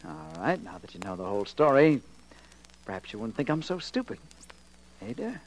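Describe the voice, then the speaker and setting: An actor playing a smug, condescending murderer husband in a 1940s radio crime drama. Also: male voice, lowish voice, very animated, normal speed, rough recording. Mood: gloating.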